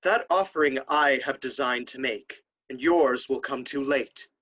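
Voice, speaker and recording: A man's voice: mid-range voice, unhurried, a bit bright. A man playing a proud, jealous young nobleman in a period audio drama, with formal, dramatic archaic speech. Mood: challenging.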